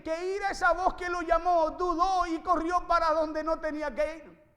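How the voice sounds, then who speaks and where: A man's voice: extremely high pitch, pushed and bright. A man preaching an impassioned sermon to a congregation, exhorting listeners and repeating phrases for emphasis. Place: large reverberant room, preaching to a congregation. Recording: reverberant hall sound.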